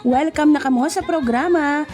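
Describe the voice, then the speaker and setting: A woman's voice: high-pitched, moderate pace, darkish tone. A woman radio host with a Western Visayan Filipino accent, giving effusive, announcer-style greetings in an upbeat programme introduction. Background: music.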